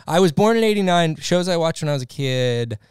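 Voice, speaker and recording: A man's voice: on the high side, lively intonation, brisk, clean tone, no echo, clean studio sound. A man in his thirties, a podcast guest, chatting casually in a rambling, laid-back way.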